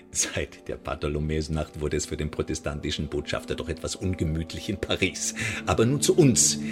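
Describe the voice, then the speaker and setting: An actor playing a menacing, mock-polite secret-service interrogator in a radio drama, articulate and sardonic. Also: male voice, sits low.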